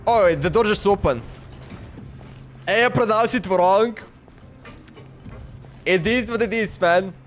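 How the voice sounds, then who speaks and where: A man's voice: high-pitched, measured pace, long pauses. A man livestreaming a video game, thinking aloud in casual commentary and often repeating himself, talking to the game and his chat. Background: game music.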